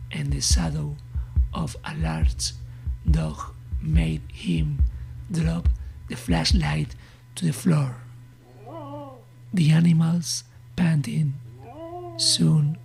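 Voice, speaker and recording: Very slow, hushed woman narrating horror. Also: sits low, creaky. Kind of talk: scripted horror story narration.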